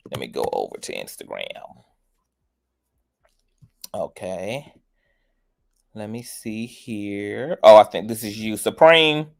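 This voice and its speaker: A man's voice: on the low side, big pitch swings, takes it slowly, vocal fry, pauses often. A man hosting a casual livestream chat, sassy and chatty, calling everyone girl and honey. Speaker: host of a commentary livestream.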